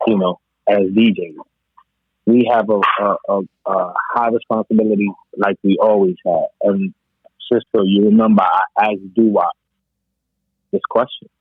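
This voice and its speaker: Low husky guy on a phone line, dry sound, dj chatting emphatically on a podcast panel. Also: fairly even intonation, normal speed, long pauses, dry recording. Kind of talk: casual panel discussion about hip-hop. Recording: thin, phone-like call audio.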